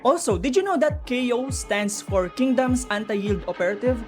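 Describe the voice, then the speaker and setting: A woman with a fairly high voice narrates a lore breakdown over background music. She is chatty and informal, weighing theories and addressing her viewers directly. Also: lively intonation, normal speed. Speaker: video narrator explaining game lore. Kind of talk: informal explainer commentary. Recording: music under the voice.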